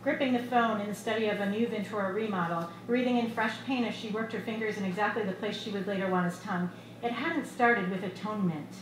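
A middle-aged woman reading her own short fiction aloud at a live event, in a measured, expressive storytelling delivery. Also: mid-range voice. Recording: echoey bar room with loud background noise.

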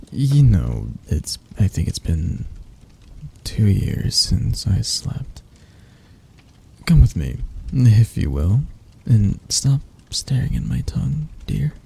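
A man voicing a possessive, teasing spider-creature lover in an intimate ASMR roleplay, with a husky, breathy, gravelly murmur. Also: on the low side, full character voice. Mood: drowsy, reluctant. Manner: slow, teasing murmur with soft chuckles and near-whispers.

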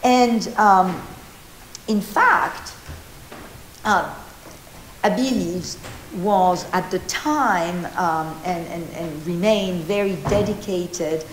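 A woman in her fifties from Argentina gives an informal art history talk, animated and gesturing, with many restarts and fillers, recorded by a distant camera in an old meeting house. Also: lowish voice, very slow, long pauses, slight background noise. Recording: roomy hall echo.

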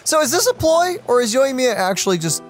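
A man's voice: extremely high pitch, very animated, brisk, soft background music. A man giving casual gameplay commentary as a let's play co-host.

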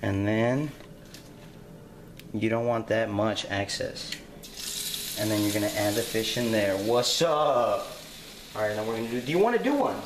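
A man's voice: on the low side, lively intonation, normal speed, pauses often, echoey room, background noise. A young man filming himself cooking in a home kitchen, explaining casually and swearing with cocky confidence. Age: young adult. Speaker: home cook vlogger. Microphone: handheld camera nearby.

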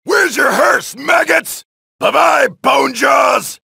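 An actor playing a brash, wisecracking male soldier who shouts taunts and one-liners while killing zombies in a video game, with a gravelly voice. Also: extremely high pitch, takes it slowly, no echo, heavily acted. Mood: taunting. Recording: dry studio voice lines.

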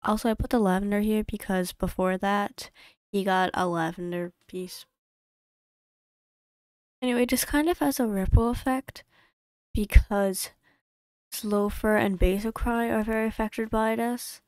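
Woman creator, mid-pitched and smooth, dry close desk mic, chatty rambling voice-over with many restarts. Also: fairly even intonation, darkish tone, no room sound. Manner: rambling, self-correcting, quick asides. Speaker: YouTube creator. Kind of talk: casual voice-over narration of a game playthrough. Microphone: home desk mic.

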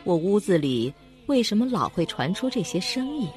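An actress playing a lonely, mysterious landlady in a horror radio drama, speaking in a measured way. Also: medium pitch, big pitch swings, a little mellow, natural voice. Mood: eerie, knowing. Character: eerie, lonely landlady of an old mansion. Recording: music behind the voice.

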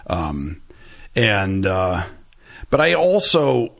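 A man's voice: low voice, sing-song, very slow, creaky, fairly bright tone. A man in his forties talking candidly as a podcast guest, rambling with lots of fillers and self-deprecating asides, close to a studio mic in a small room. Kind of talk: candid conversational interview. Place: small room with bright orange shelves. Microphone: boom-arm studio mic close to mouth.

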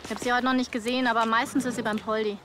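An actress playing an earnest, helpful young horse rider. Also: female voice, fairly high pitch, flat delivery, racing, a bit bright, a little hiss or hum, lightly acted. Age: young adult.

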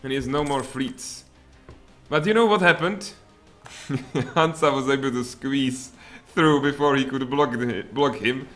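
A man in his thirties with a Czech accent, streaming a strategy game from home in lively, muttered commentary with sudden outbursts. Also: medium pitch, measured pace, faint music behind. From Czech Republic. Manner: animated, muttering asides, sudden exclamations. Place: home room, indoors.

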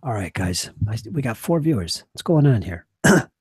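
A hoarse man in his forties, a game master losing his voice, chatting casually and earnestly on a live stream from a small home study into a desk microphone. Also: mid-range voice, big pitch swings, normal speed, no room sound, studio quality. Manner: rambling, earnest, trailing off quietly. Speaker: game master and channel host.

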